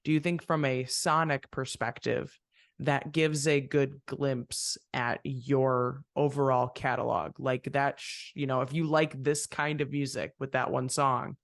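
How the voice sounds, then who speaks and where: A man hosts a relaxed interview with a musician, his mid-range voice carrying a gravelly texture. He muses at length and wanders through his thoughts, recorded close and dry with no room sound. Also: medium pitch, unhurried, no room sound. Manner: reflective, rambling with fillers. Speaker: podcast host. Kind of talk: casual interview chat.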